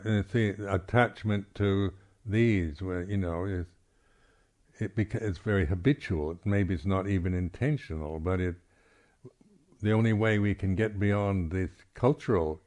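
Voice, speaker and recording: Low-voiced man giving a halting dharma talk. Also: darkish tone. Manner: halting, reflective, with repeated throat clearing. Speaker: Buddhist monk teacher. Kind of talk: unscripted dharma talk at a meditation retreat.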